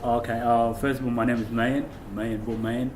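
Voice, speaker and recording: A young man from Kenya, a talk-show guest, telling childhood stories in a relaxed way with many fillers, recorded indoors on a camera mic a few feet away. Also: mid-range voice, normal speed, a little hiss or hum. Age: young adult. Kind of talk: casual chat. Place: small room indoors, seated on a couch.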